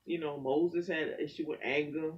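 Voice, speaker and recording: A man's voice: on the high side, normal speed. Preacherly man on a dull, distant mic. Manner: animated, preacherly. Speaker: pastor and poet. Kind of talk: casual faith conversation.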